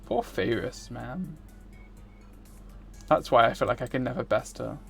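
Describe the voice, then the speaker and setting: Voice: medium pitch, lively intonation, measured pace, vocal fry, fairly bright tone, soft background music. A streamer reading a self-important, grumbling young man from a visual novel. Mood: pompous, exasperated.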